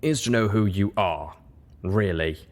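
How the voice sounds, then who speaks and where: A man acts a hooded storybook unicorn gentleman with a lowish voice. He is refined, polite and formal, keeping an air of mystery. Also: measured pace, lightly acted. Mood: polite, measured. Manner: refined, formal, not too haughty.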